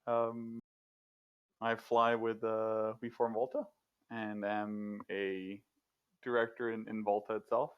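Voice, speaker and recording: A man's voice: fairly low pitch, little pitch movement, slow pace, clean tone, lo-fi sound. A man from Denmark with an American accent, a guest on a live show, talking easily with some fillers. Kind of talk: casual chat.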